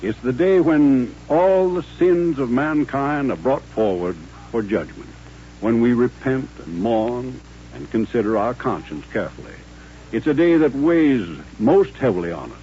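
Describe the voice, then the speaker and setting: An actor playing a solemn, courteous Southern gentleman colonel with a formal, reflective manner in an old radio western. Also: mid-range voice, slight background noise. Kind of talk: 1950s radio western acting. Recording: dull, humming old-time radio recording.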